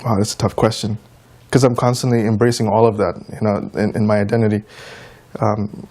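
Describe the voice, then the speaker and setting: A man's voice: fairly low pitch, flat delivery, fairly quick, a little mellow. A man from the United States answers interview questions in an informal, anecdotal way, as a musician and teacher speaking conversationally. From United States, of Trinidadian and Guyanese descent. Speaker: interview guest, tabla player and teacher.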